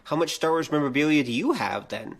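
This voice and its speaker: A man's voice: fairly high pitch, expressive, quick pace. A middle-aged man talking casually as a podcast host in conversation.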